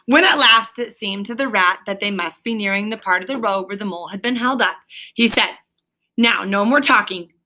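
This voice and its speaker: A woman narrates a storybook aloud in a bright, forward voice. Her pitch sits in the middle, and she reads expressively as if for a child. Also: brisk, a little hiss or hum. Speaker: storybook reader.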